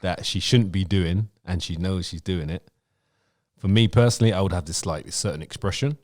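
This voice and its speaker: A middle-aged man chatting on a podcast, giving advice in a lively, teasing way. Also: low-pitched, moderate pace. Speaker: podcast co-host. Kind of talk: casual chat, giving advice.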